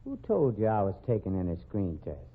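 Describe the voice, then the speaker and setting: Cocky rodeo cowboy, confident drawl, lively voice, tinny radio sound. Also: male voice, deep voice, a little fast, husky, exaggerated voice. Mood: defensive. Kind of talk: 1940s radio acting. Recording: tinny old radio broadcast.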